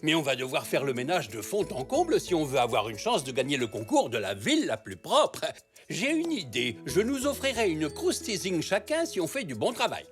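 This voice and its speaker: A man's voice: on the high side, huge pitch swings, moderate pace. A jolly old grandfather zebra in a French children's cartoon. His intonation swoops up and down with kindly good humour. Faint music sits behind him.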